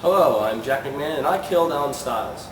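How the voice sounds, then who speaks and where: A man playing a 1920s newsman and interviewer in an amateur mock broadcast, presenting in a slightly halting way. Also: mid-range voice, expressive, lightly acted. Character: 1920s newsman covering youth crazes and interviewing musicians. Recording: clearly noisy amateur recording.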